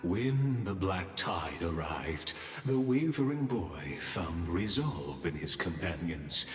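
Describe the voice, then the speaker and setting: A man playing a grave narrator of an epic video game legend, solemn and measured with dramatic pauses. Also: low-pitched, very animated, heavily acted. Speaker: story narrator. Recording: echoey hall reverb over music. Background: music.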